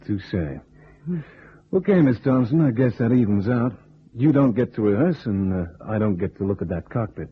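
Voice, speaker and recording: An actor playing a wisecracking, cool American test pilot with a laconic manner in a radio detective drama. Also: male voice, on the low side, animated, normal speed, a little hiss or hum, natural voice. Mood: teasing, flirtatious. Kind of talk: old-time radio detective drama acting. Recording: muffled, narrow old radio recording.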